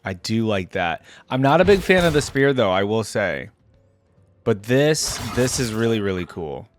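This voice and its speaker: A man's voice: lowish voice, sing-song, moderate pace. A man giving casual reaction commentary as a video host, musing and thinking aloud. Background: game music and sound effects.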